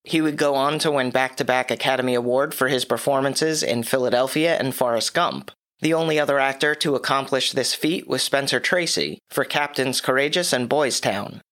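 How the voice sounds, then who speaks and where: A man hosting his own podcast, reading a scripted movie review with dry, wry asides, his voice a little hoarse from a cough. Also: medium pitch, normal speed, fairly bright tone. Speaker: podcast host.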